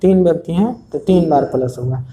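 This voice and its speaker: Male exam coach, warm low-key tone, repetitive board-style explaining. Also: brisk. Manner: repetitive, checks understanding often. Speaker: math teacher. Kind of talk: exam prep lesson at a board.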